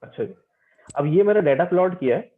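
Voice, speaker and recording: A man's voice: sits high, lively intonation, fairly quick. A man teaching an informal, interactive technical lecture, repeating key phrases and often checking that his students follow. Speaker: teacher giving an online lecture. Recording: tinny phone-like call audio.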